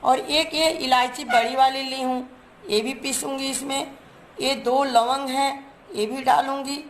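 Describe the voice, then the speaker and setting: A woman's voice: sits high, fairly even intonation, a little slow, slight background noise. A woman, hosting a cooking channel and chatting through each step while she shows her ingredients in a home kitchen. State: hoarse from a cold.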